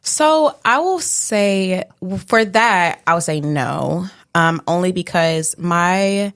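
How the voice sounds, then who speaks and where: A young American woman with a deep alto voice, speaking in a composed, slightly monotone way as a casual interview guest. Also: slow, clean tone, dry recording, studio quality. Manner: composed, serious, somewhat monotone. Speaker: guest, rapper and singer.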